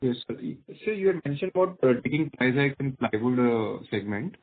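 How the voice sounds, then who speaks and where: An Indian-accented male analyst with a medium-pitched voice comes through an unclear, narrow phone line. He puts formal questions to management in a polite, deferential way. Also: lively intonation, fairly quick. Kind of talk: formal questions on an earnings call.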